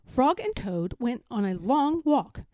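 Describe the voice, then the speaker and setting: Woman reading a kids story aloud, slow and mellow, really expressive. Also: a little high, dry recording. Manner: expressive, deliberate read-aloud delivery. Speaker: storybook reader. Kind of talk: scripted children's story narration.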